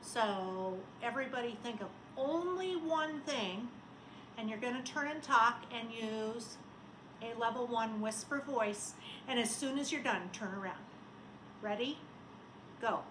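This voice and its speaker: A woman, a schoolteacher, talking and reading aloud to young children in simple, expressive phrases. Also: a little high, measured pace. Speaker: elementary school teacher. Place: indoors at home. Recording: roomy home recording, faint noise.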